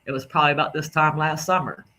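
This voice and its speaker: A man's voice: on the high side, steady tone, fast talker, bright, forceful tone. A man from the Southern United States with a Southern drawl, rambling indignantly through casual commentary on clips and repeating himself. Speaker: commentary creator.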